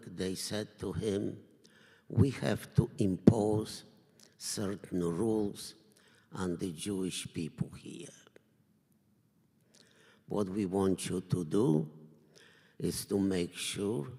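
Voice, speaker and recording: An older man from Poland, giving a survivor's testimony in slow, measured, halting phrases. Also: on the low side, vocal fry, darkish tone, slightly rough. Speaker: Holocaust survivor speaker. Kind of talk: personal testimony talk. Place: synagogue hall. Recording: reverberant hall.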